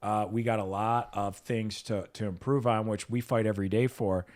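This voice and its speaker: A man's voice: fairly low pitch, steady tone, normal speed, darkish tone. A middle-aged man hosting a podcast interview, a trial lawyer himself, speaking casually and conversationally with a lot of "you know"s. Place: podcast studio.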